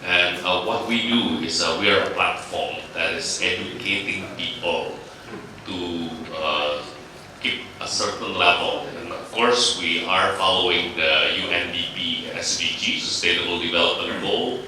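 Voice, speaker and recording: A man with a very low voice answers on a panel, halting and searching for his words. He is heard from far off in a large, booming hall, with a clear background hiss. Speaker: company CEO, panelist.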